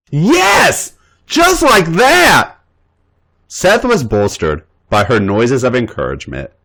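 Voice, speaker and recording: A man hosting a podcast, reading fan fiction aloud in an expressive storytelling manner. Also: on the low side. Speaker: podcast host and reader.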